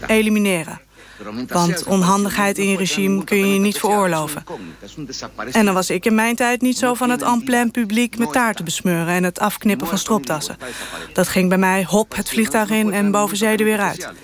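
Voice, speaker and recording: A man's voice: high voice, moderate pace, a crowd in the background, natural voice. An actor playing a boastful retired dictator in a satirical radio comedy, blunt and matter-of-fact about his cruelty.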